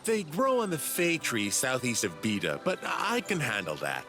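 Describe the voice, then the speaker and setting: A man's voice: medium pitch, wildly swooping pitch, moderate pace. A man voicing an eager, cocky young alien inventor who explains things condescendingly in a video game. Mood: chatty. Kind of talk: video game cutscene dialogue. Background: background music.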